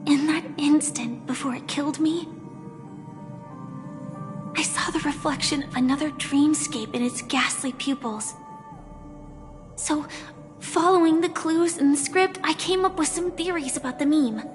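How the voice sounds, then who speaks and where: Soft earnest game girl, high slow voice, reverb and music behind. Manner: soft, earnest, halting confession. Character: gentle young woman revealing a hidden identity. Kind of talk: video game voice acting.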